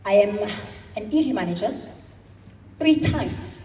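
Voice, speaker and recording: A South African woman in her thirties giving an emphatic motivational speech at a convention, stretching her words into slow dramatic pauses. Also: mid-range voice, lively intonation, dark tone. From South Africa, Eastern Cape. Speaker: convention speaker. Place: large hall. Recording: echoey hall, speaker far from mic.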